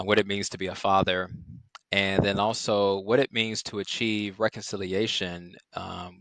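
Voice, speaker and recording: A middle-aged man from Chicago, a psychiatrist guest on a podcast, speaking in a measured way with many "um" fillers. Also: deep voice, a bit bright, no room sound. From Chicago, Illinois. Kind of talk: podcast interview.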